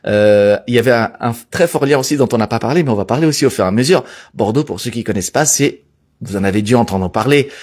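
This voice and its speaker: A man's voice: lowish voice, a little mellow. A man hosting a live magazine show, chatting casually with guests and viewers and using frequent fillers. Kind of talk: casual live broadcast chat.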